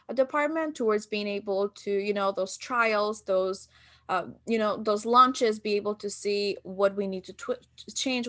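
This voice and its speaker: A woman's voice: mid-range voice, fairly bright tone. A woman from Albania, in her thirties, telling stories in a casual podcast interview and acting out quotes from herself and others. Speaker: guest, marketing business founder.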